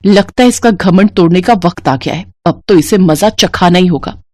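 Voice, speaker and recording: A woman's voice: lowish voice, normal speed, a little mellow, dry recording, clean studio sound, natural voice. A woman voicing a jealous, scheming young sister-in-law in a cartoon storybook, sarcastic and bitter. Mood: spiteful, plotting.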